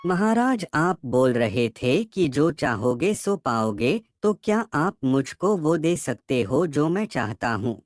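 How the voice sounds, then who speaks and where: An earnest young man from a cartoon fable , voiced by a male actor, respectful and ambitious. His delivery is slow but very expressive in pitch, with a dry sound. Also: no room sound. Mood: respectful, curious. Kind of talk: animated moral story character acting.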